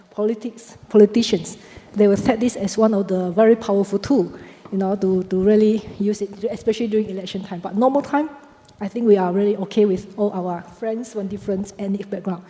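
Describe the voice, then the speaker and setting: A woman's voice: medium pitch, warm tone. A woman with a Malaysian accent speaking as a panelist in a panel discussion, earnest and plain-spoken. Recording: reverberant hall.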